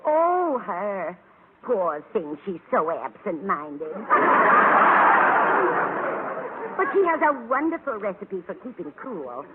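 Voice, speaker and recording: A woman's voice: mid-range voice, big pitch swings, unhurried. A sweet, vague older woman in a vintage radio comedy. Her absent-minded delivery swoops up and down, heard through narrow, very noisy old sound. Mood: vague, dithering. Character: sweet, scatterbrained older landlady, forgetful and vague. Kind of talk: 1940s-50s American radio sitcom acting. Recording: tinny, heavily noisy old radio recording.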